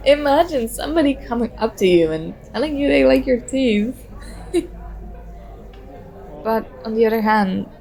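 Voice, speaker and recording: A woman's voice: on the high side, gravelly, darkish tone. A woman playing a very shy, nervous young stranger in an ASMR roleplay, talking hesitantly and self-consciously as she overthinks. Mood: giggly, encouraged. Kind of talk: ASMR roleplay, soft conversational acting.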